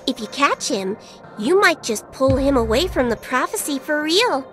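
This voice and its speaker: A squeaky, cheeky little game fairy. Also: female voice, sing-song, normal speed, music in the background. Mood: callous, flippant. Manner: flippant, blunt, teasing. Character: small cheeky female fairy, blunt and sassy. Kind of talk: video game cutscene acting.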